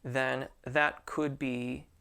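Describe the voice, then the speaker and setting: A man with a gravelly, creaky edge to a mid-pitched voice talks like a singing teacher answering viewers. He is chatty and encouraging, and he often trips into restarts mid-sentence. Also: level tone, extremely slow, sharp tone. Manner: chatty and informal, with frequent restarts. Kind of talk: casual video answering viewer questions.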